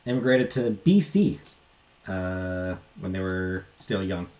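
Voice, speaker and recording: A man talking casually and unscripted as a book reviewer, in a halting, hesitant way with trailing asides. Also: deep voice, animated, darkish tone.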